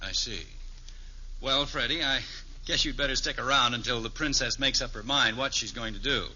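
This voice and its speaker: A man's voice: medium pitch, moderate pace, sharp tone. An actor playing a middle-aged father in a radio sitcom, wry and mildly exasperated with dry, hesitant asides. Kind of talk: 1950s radio sitcom acting. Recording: dull old radio recording, faint noise.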